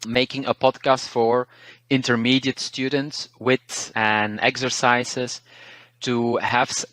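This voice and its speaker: A man from Belgium with a Belgian Dutch accent whose first language is Dutch, a language teacher talking through learning tips in a relaxed, thoughtful way, pausing and restarting words. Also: mid-range voice, dry recording, rough recording. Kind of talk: interview, casual advice.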